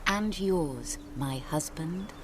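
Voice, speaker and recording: Sweet wistful young bride, talks real slow n tender, video game, lots of background atmosphere. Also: female voice, low voice, lively intonation, exaggerated voice. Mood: adoring. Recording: noisy ambient sound bed.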